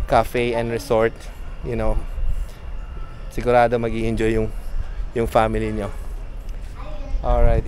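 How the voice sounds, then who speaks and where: Chatty Filipino man vlogging outdoors. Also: medium pitch. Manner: relaxed, chatty, addresses viewers as guys. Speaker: travel vlogger. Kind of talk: casual travel vlog, mixing Tagalog and English. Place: outdoor rooftop balcony on a hill. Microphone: handheld camera at arm's length. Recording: outdoor ambience with clear background noise.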